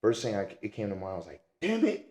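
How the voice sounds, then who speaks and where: A man's voice: on the low side, wildly swooping pitch, fast, a little mellow. Laid-back slangy man in an echoey room. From raised in the Middle East and North Africa. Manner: laid-back slangy storytelling, frequent "and whatnot". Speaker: podcast guest. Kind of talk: casual conversation.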